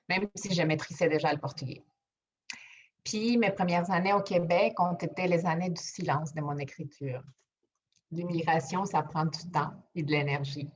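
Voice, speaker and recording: A young woman, a native Spanish speaker, giving a prepared personal testimony as a writer and actor. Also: lowish voice. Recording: dull, band-limited audio with faint noise and clicks.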